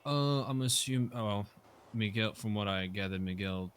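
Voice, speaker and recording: A man with a lowish voice speaks in character in a roleplay game. He hedges constantly, fills gaps with "uh" and lets his sentences fade. Faint noise hums behind him. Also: moderate pace. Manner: hesitant, many fillers, soft trailing off. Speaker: roleplay player.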